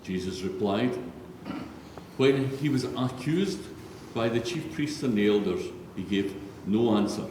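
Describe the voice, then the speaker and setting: Low-voiced man reading scripture in echoing church. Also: animated, unhurried, darkish tone. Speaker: scripture reader, narrator. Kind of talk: solemn scripted Bible reading. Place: large reverberant church, read aloud. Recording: echoey church hall, distant, faint noise.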